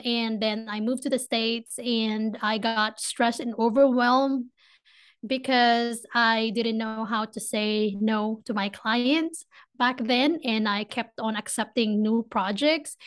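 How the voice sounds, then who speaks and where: A young woman from the Philippines with a Filipino accent, a podcast guest talking casually and eagerly, speeding up when she gets excited. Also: on the high side, smooth. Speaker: podcast guest, business founder. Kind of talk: casual interview talk.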